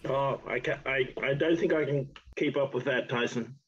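A man's voice: medium pitch, normal speed. A man introducing himself as a guest on a video call in a modest, hesitant manner. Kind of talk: casual video call chat. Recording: dull video call audio with faint noise.

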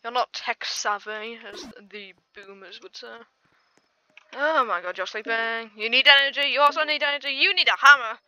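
A woman's voice: a little high, moderate pace, pushed and bright, clean tone, dry recording, poor audio. A young woman giving casual, rambling gameplay commentary with dry, playful asides. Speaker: gaming video host.

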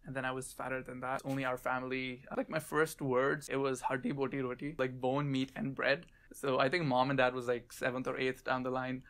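Chatty young Pakistani man. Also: medium pitch. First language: Urdu. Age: young adult. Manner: relaxed, chatty, many fillers like "like". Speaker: student. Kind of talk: casual personal interview.

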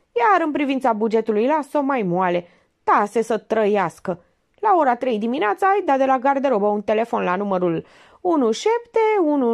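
A sarcastic older lady detective pokes at a suspect with pointed, mocking jabs, voiced by a woman reading a storybook. Her voice sits high and swings widely in pitch. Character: sharp sarcastic older female amateur detective.

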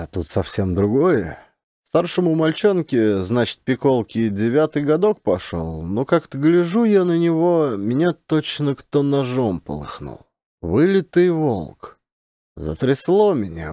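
Audiobook role: simple peasant husband, jealous, calmly confessing, folksy speech, expressive pitch, male performer. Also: dry recording, studio quality. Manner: plain folksy rural speech, touchingly calm. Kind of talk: audiobook character acting.

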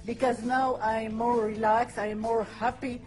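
Woman, French accent, middle-aged, fairly high voice, halting speech, soft music behind. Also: little pitch movement. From France. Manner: hesitant, pausing with fillers. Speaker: customer testimonial. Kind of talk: testimonial to camera. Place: indoors, high-rise room with window view.

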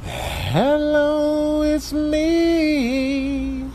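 A man voicing a smooth, flirtatious spider suitor with a suave manner, in a comic animal voice-over. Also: fairly high pitch, very animated, a little slow, a little mellow, a little hiss or hum.

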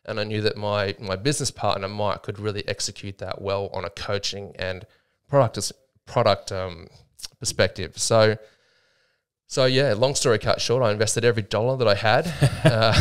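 A man's voice: lowish voice, clear, resonant tone. Chatty Australian man telling a story. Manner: chatty, laughs often, many fillers. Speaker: gym co-owner, guest. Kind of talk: casual storytelling interview. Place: small podcast studio. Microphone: studio mic with headphones.

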